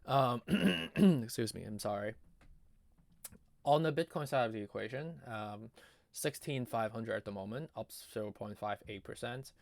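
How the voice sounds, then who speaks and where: A man giving casual, off-the-cuff chart commentary in a halting, conversational way, his voice strained as if giving out. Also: medium pitch, lively intonation, gravelly, no room sound. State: losing his voice, often clearing throat. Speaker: market analysis video host.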